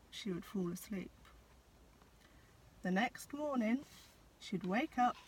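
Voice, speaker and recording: Slow, expressive woman reading a storybook. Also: mid-range voice, rough recording. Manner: dramatic pauses, addresses young listeners. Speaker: teacher reading a picture book. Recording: clear background noise.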